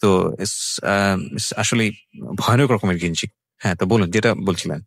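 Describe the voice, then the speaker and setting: A man's voice: on the low side, moderate pace, fairly bright tone, dry recording. A man with a Bangladeshi accent hosting a conversational radio interview, speaking earnestly and mixing in English words. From Bangladesh, outside Dhaka. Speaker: radio show host interviewing a guest.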